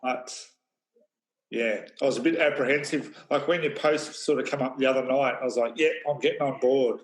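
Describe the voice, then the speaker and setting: A man taking part in a casual group call, plain-spoken and a little halting in his phrasing. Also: mid-range voice. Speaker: program participant. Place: live online video call. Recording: roomy, echoey video-call sound.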